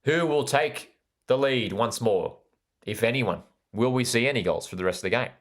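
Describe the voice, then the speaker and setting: A man's voice: medium pitch, lively intonation, normal speed. A man with an Australian accent hosting a live football watchalong, commenting on play and chatting with viewers in an enthusiastic way that repeats phrases for emphasis. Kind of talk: live sports commentary with chat interaction.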